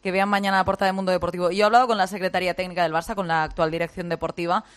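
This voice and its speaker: A sports reporter, a man, briskly passing on club news from his sources. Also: sits high, flat delivery, a bit bright, faint music behind.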